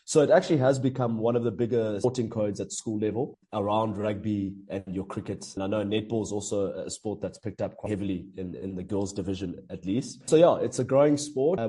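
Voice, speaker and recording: Coach guy, lowish warm voice, earnest and measured. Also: husky. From South Africa. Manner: earnest, measured, with frequent fillers and restarts. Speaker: hockey coach. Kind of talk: interview answers.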